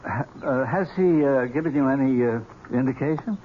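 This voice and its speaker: An actor playing a fond, wry uncle with a teasing, halting manner, in 1970s radio drama style. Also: male voice, mid-range voice, natural voice. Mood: flustered, hesitant. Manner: halting, stammering, dryly teasing. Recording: dull, noisy 1970s radio recording.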